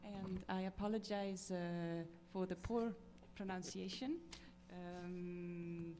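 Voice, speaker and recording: A woman moderating a conference session, halting with many fillers. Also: lowish voice, steady tone, dark tone. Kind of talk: introducing speakers at a conference.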